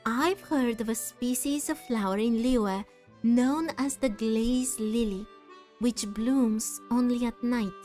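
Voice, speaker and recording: A woman voicing a scheming, self-satisfied villain from a video game note, in a deliberate manner. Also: a little high, darkish tone. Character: scheming, smug villain reading out a poison plot.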